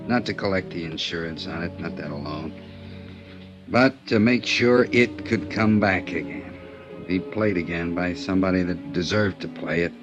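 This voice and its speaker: An actor playing a bitter, drunken has-been violinist who confesses in a brooding way, in a 1950s radio drama. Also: male voice, on the low side, highs cut off, close to an everyday voice. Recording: old radio broadcast with music.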